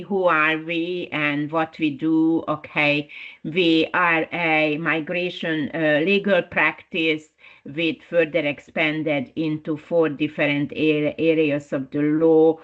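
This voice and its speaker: A woman with a Hungarian accent, a principal lawyer presenting a webinar in an unhurried way, with frequent fillers and restarts. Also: deep voice, lo-fi sound.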